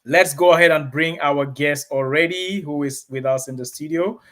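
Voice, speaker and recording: Male studio host, slightly high voice, energetic and repetitive, talks straight to the audience. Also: normal speed. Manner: energetic, repetitive emphasis, addresses viewers directly. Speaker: host and reverend. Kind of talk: live-stream show hosting.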